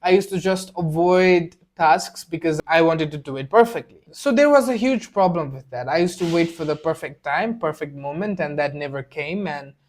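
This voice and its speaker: A man's voice: fairly high pitch, normal speed, few pauses, slight background noise. A young man whose first language is Nepali, giving a blunt, earnest motivational talk to the camera and repeating phrases for emphasis. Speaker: self-improvement video creator. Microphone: camera mic, speaking to camera.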